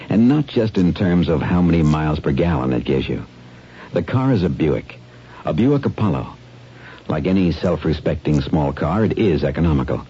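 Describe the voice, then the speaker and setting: A man giving a plain-spoken, persuasive, conversational sales pitch as a 1970s radio announcer. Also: warm tone, breathy edge. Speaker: car advertisement announcer. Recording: dull old radio broadcast.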